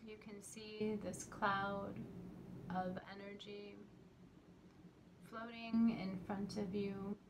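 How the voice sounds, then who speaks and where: A woman leading a guided meditation in a soothing, gentle manner, leaving long pauses between short phrases. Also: medium pitch, flat delivery. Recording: clearly noisy recording with ambient sound.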